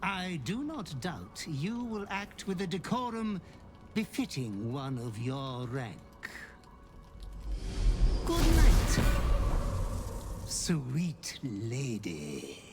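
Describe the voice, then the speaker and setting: A sinister butler purrs threats in a slow, insinuating drawl. The voice sits high and swoops widely as it lingers over each word. Music plays behind him in this game cutscene. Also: male voice, huge pitch swings, heavily acted. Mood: menacing. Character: sinister male butler, silky and menacing. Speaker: game character.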